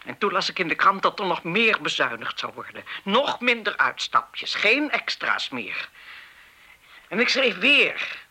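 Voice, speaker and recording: A woman's voice: mid-range voice, very animated, measured pace, bright, forceful tone, husky, heavily acted. A woman playing an embittered, guilt-ridden retired schoolteacher in a radio play, stern and lecturing in manner. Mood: righteous anger. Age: older. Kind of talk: Dutch radio play acting.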